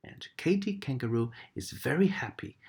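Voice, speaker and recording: A woman reading a bedtime story aloud to children, gentle and animated. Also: very deep voice, moderate pace. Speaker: storybook reader.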